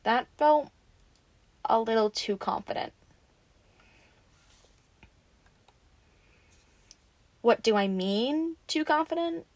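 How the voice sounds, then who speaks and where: A woman's voice: medium pitch, creaky, no room sound, close to an everyday voice. A woman playing a teasing, bossy but caring vampire in an audio roleplay, talking informally and expressively to the listener. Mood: curious. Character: teasing, sassy, caring female vampire taking in a newly turned one.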